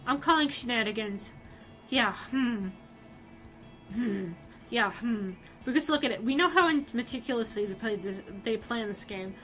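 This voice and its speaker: A young woman streamer reading a story aloud on her live stream, animated and excitable, often restarting her sentences. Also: fairly high pitch, moderate pace, faint music behind, poor audio.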